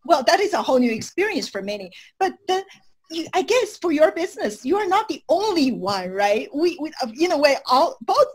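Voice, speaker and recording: Higher-voiced woman interviewer. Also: very animated, a little fast. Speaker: interviewer, host. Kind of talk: conversational interviewing. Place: indoors on a video call.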